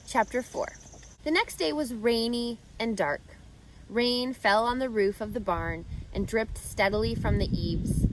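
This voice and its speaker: A woman's voice: medium pitch, very noisy. A woman narrating a children's book expressively as a read-aloud host, speaking directly to young listeners.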